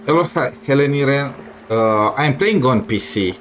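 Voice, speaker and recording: A man giving casual, halting live gameplay commentary, reacting in short bursts as a streamer. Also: mid-range voice, animated, fairly bright tone, a little hiss or hum. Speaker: game livestreamer. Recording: game music behind the voice. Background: video game music.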